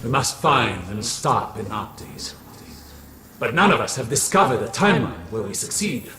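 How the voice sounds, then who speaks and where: An actor playing a grave, prophetic warlock in a video game cutscene, speaking in a solemn, portentous manner. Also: male voice, medium pitch, big pitch swings, normal speed, crowd noise, close to an everyday voice. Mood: solemn, foreboding. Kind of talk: scripted dramatic game cutscene acting. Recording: echoing voice under loud music.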